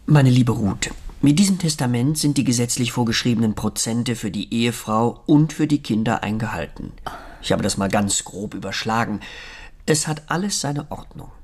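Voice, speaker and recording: An actor playing a pompous, evasive middle-aged lawyer, formal, stilted and patronising, in a German radio crime drama. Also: male voice, lowish voice, moderate pace, a little mellow, husky. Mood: officious.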